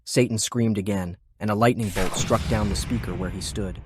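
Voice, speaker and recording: A man's voice: fairly low pitch, steady tone, normal speed, over music. A man reading scripted fiction as an audiobook narrator, close to the microphone.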